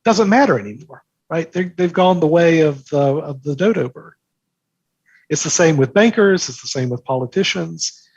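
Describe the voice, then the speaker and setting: A man's voice: a little high, lively intonation, normal speed, darkish tone, breathy edge, dry recording, dull audio. A man talking as an interview guest, explaining things conversationally and emphatically, repeating phrases for effect. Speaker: interview guest, markets newsletter author.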